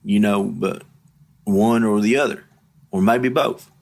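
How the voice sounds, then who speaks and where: A young man, speaking in an interview, halting and repeating himself but blunt and emphatic. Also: lowish voice, gravelly. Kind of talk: candid interview.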